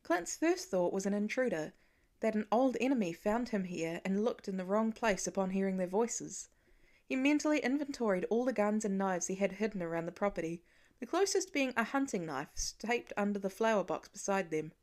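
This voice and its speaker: Expressive woman narrating fiction. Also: medium pitch, a little fast. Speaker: audiobook narrator. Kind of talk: scripted dramatic fiction narration.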